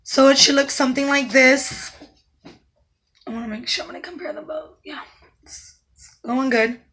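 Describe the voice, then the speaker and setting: A woman makeup artist talking through a tutorial step by step, her naturally raspy voice hoarse and breaking in and out, calm and instructive with soft asides while she works. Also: sits high, normal speed. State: hoarse, voice going in and out.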